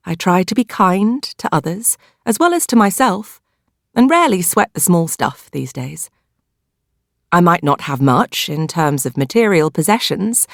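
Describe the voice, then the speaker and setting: A woman with a British accent narrates a novel in the first person, in a measured, expressive storytelling manner. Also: mid-range voice, gravelly, no echo, clean studio sound. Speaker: audiobook narrator.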